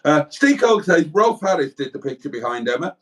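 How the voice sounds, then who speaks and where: Welsh man, lively pitch, reading chat. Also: a little fast. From South Wales. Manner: playful, reads comments aloud in a mock-dry way. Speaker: livestream co-host reading chat comments. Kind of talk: casual banter.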